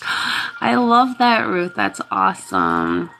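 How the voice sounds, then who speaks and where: A woman is hosting a live healing stream while getting over a cold, her voice congested and sniffly. She talks slowly and sits a little low in pitch, greeting viewers one by one and laughing now and then. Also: huge pitch swings, sharp tone. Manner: chatty, thanks viewers by name, laughs often. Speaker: reiki healer hosting a livestream.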